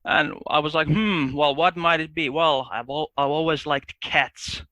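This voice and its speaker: A man from Finland with a Finnish accent, a guest in a casual podcast chat, speaking haltingly with many restarts. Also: a little high, steady tone, bright, forceful tone, dry recording.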